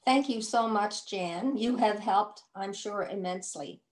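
An older woman hosts a webinar over a video call. She speaks deliberately, thanking the speakers and explaining how the session will run. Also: medium pitch. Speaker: webinar host. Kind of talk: moderating a webinar.

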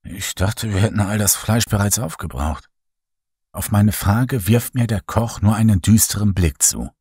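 A man reading a first-person horror story in a slow, hushed, brooding way. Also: on the low side, husky, dry recording, studio quality. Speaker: audiobook narrator.

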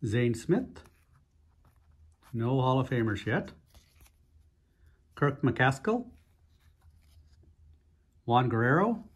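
Male vlog host, slightly husky, easygoing and unhurried, narrating a pack opening. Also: medium pitch. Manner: relaxed, unhurried, frequent "uh" fillers. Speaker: host of a card-opening channel. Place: indoors at a table, hands only on camera.

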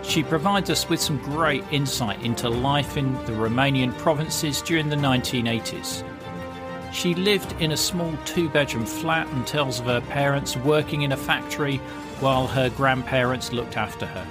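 A man hosting a podcast, reading a measured, scripted introduction. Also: mid-range voice. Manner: clear, measured, promotional read. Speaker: podcast host. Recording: music bed under voice.

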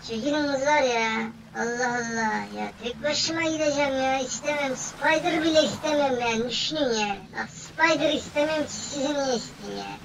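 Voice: high-pitched, moderate pace, gravelly, recorded from a distance, noisy background. A gaming host giving casual, playful commentary in slangy banter, often repeating phrases over and over. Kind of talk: casual gameplay commentary, playful role-play.

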